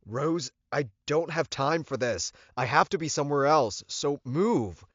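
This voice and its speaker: Audiobook role: snappy, evasive young man from a male narrator, insistent, lifted pitch, very dry. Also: lively intonation, unhurried, no room sound, muffled recording. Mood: snappy, impatient. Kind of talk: audiobook character acting.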